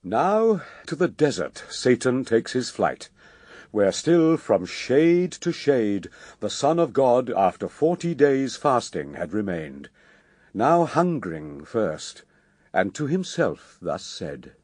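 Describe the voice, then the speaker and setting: A man narrating epic verse drama in a stately, measured, expressive manner. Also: mid-range voice, no room sound.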